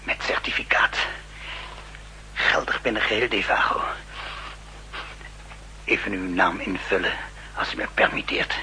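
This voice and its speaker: An obsequious male shopkeeper in a 1960s radio drama, eager to please. He talks slowly in a low, very sharp-edged voice, hushed and hesitant, on a faintly humming vintage recording. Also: a little hiss or hum, exaggerated voice, low-quality recording. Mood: servile, polite. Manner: deferential, hesitant, breathy hushed delivery. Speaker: actor.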